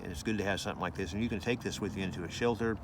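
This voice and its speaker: A man's voice: sits low, rapid-fire, noisy background. A man giving a casual hands-on product review, explaining things informally and loosely with some repetition.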